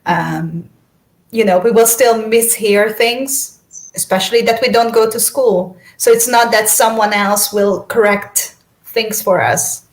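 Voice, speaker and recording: A woman's voice: on the high side, a little slow, reverberant, rough recording. A young woman, a native speaker of Esperanto and Hungarian, chatting as an interview guest, laughing often and restarting her sentences. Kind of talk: casual conversation.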